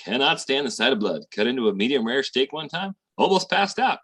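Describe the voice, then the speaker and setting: A man playing a slangy, squeamish small-time schemer with a raspy voice in a 1940s-style radio comedy. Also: mid-range voice, very animated, a little fast, fairly bright tone. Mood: flustered.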